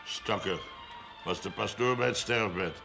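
A man's voice: lowish voice, measured pace, creaky, over music. A man acting a sociable, mildly disapproving village café keeper in a period television drama, terse and curious.

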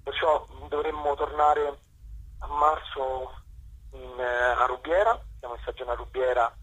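A man's voice: medium pitch, fairly even intonation, a little slow, fairly bright tone, husky, poor audio. A man speaking as a theatre guest in a casual phone interview, hesitant and often breaking off mid-word. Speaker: guest theatre director and actor promoting a show.

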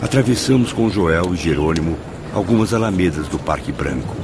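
Deep-voiced wonderstruck male narrator. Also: animated, brisk. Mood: reflective. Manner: theatrical, florid, savouring. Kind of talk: radio drama narration. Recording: music behind the voice.

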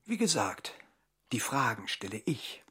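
An actor playing a controlled interrogator who sets the terms, in a radio drama. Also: male voice, medium pitch, very animated, measured pace, fairly bright tone, husky, exaggerated voice. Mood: menacing. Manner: cool, controlled menace. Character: cold male interrogator.

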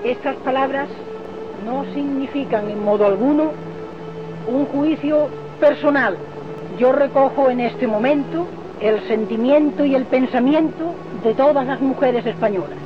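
A woman's voice: sits high, a little slow. A woman giving a formal, earnest speech with a dignified manner. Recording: noisy, dull old archive recording.

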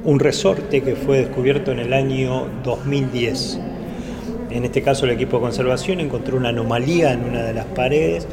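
A man's voice: medium pitch, fairly even intonation, moderate pace. A man with an Argentine accent explaining things as a tour guide. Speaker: memorial site tour guide. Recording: live on site amid loud crowd noise. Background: loud crowd noise.

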